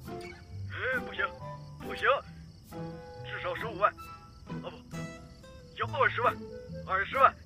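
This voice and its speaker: A man acts out a middle-aged village father in a radio drama, his voice pitched high for a man. He is money-hungry, blunt and pushy, nagging at whoever he talks to. Music plays under his lines. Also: a little slow, pauses often. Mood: greedy, bargaining. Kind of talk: modern Chinese radio drama.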